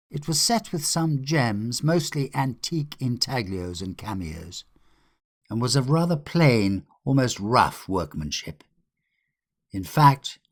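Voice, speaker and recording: A man reading a literary ghost story as a narrator, in a measured, old-fashioned storytelling manner. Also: fairly low pitch, vocal fry, slightly rough. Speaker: audiobook narrator.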